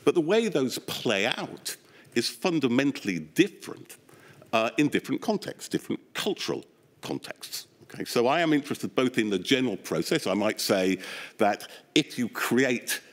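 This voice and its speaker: Lively, low-voiced academic man testifying. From England. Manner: animated, discursive, with a wry humor and asides. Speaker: expert witness, academic psychologist. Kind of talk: formal inquiry testimony, explaining at length. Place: public inquiry hearing room.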